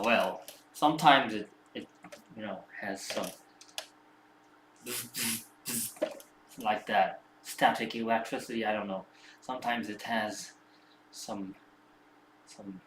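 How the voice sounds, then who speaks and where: A man who is not a native English speaker, rambling and thinking aloud after a home workout, with many halting restarts. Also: lowish voice, sharp tone. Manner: rambling, halting, self-reflective. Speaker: fitness streamer. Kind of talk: casual musing after a workout. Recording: roomy echo, distant mic, faint background hum.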